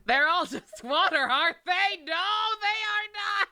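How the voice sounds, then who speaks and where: A woman's voice: extremely high pitch, animated, measured pace, pushed and bright. A woman livestreaming a game with casual, joking, slangy commentary addressed to her viewers.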